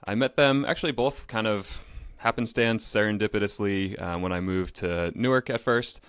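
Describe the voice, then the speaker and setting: A man with a very low, clean and resonant voice hosts a casual podcast. He talks in a discursive, conversational way, and the sound is close and dry. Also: moderate pace, fairly bright tone, clear, resonant tone, no room sound. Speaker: podcast host.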